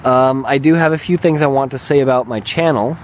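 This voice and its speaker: Slightly hoarse man vlogging outdoors. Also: mid-range voice, a little fast, slight background noise. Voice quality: slightly raspy. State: mildly hoarse, recovering throat. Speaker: video channel host and gamer. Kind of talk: casual rambling vlog talk. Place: open lakeshore beach outdoors. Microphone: handheld camera held by the speaker.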